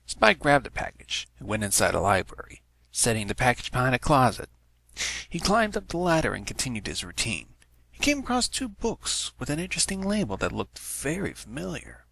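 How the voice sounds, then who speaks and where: Animated, gravelly man reading a story. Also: mid-range voice, a little fast, no echo. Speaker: amateur fan fiction reader. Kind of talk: scripted story narration.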